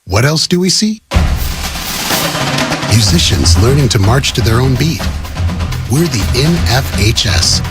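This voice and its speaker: A man reading a scripted public service spot in a polished, measured, inspirational way. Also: mid-range voice, sing-song. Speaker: advert announcer. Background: prominent music.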